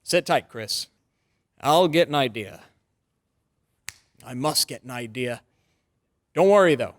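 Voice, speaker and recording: A man's voice: medium pitch, expressive, slow, no room sound, clean studio sound. A man playing an earnest, loyal lawyer in an old-time radio drama. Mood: urgent. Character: earnest, loyal young male lawyer pleading for his friend.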